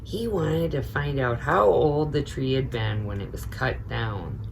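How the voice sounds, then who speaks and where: A middle-aged woman reading a story aloud to children in an expressive, motherly way, with chatty asides. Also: a bass voice, measured pace, gravelly, a little hiss or hum, low-quality recording.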